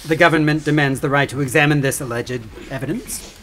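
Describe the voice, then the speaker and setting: A man's voice: fairly high pitch, steady tone, brisk, fairly bright tone, slight background noise, natural voice. A man playing a scornful, pompous government attorney in an audio courtroom drama, formal and combative. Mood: objecting.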